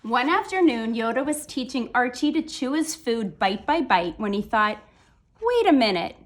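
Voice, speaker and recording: A woman reading a children's picture book aloud, phrasing deliberately with dramatic emphasis. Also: fairly high pitch, lively intonation. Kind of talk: animated children's story read-aloud.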